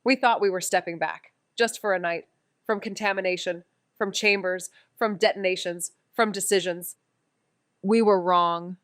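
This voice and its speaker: Actress as a sarcastic young woman sidekick, audio drama, casual n playful w a soft side, no room echo. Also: on the low side, steady tone, moderate pace, clean studio sound, close to an everyday voice. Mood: somber. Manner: sardonic, flippant, casual. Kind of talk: naturalistic audio drama dialogue.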